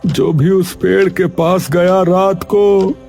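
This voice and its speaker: A man plays a bitter older villager in an audio story, worn down by grief. He talks extremely slowly and haltingly, with a gravelly creak in a fairly high-set male voice. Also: steady tone, a little mellow, faint music behind, full character voice. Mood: grim. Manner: halting, heavy, despairing delivery. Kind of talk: acted Hindi audio story dialogue.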